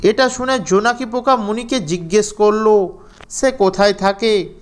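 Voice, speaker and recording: A man's voice: high voice, measured pace. A man narrating a scripted children's fairy tale as storyteller.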